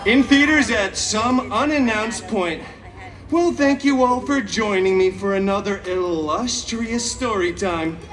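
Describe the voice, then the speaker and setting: A man's voice: sits high, unhurried, fairly bright tone, faint music behind. A man playing a chaotic, cheeky, vain masked antihero who tells a story theatrically to a live audience with sassy, mock-sweet asides. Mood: grandly farewelling. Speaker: theme park stage show performer. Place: theme park stage, recorded from the audience. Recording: amplified live show, distant, crowd noise.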